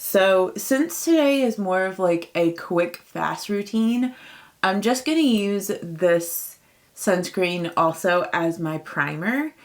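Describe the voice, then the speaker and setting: A woman in her late thirties chatting casually through a makeup routine, upbeat and full of fillers, her voice a touch raspy from early morning. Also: fairly low pitch. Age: 30s. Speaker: beauty vlogger. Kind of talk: casual get-ready-with-me chat.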